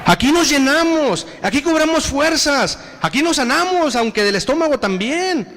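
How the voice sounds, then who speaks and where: A man with a Mexican Spanish accent preaching a sermon. He addresses the congregation again and again and reads scripture slowly and with emphasis. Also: very high-pitched, very animated, a bit bright. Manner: preaching with repeated appeals to the congregation, slow emphatic scripture reading. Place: church hall. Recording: reverberant hall.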